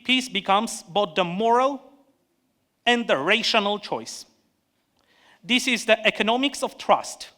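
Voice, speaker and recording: Bright-voiced man with an Armenian accent. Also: high-pitched. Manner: measured, earnest, with restarts and pauses. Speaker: panel speaker, economist. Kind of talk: prepared conference speech.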